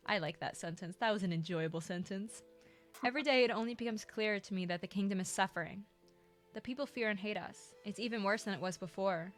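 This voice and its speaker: A woman's voice: mid-range voice, fast talker, soft background music. A woman hosting a game playthrough, reading the narration aloud and breaking off for joking asides and laughter. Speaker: let's play host. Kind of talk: reading a visual novel aloud with commentary.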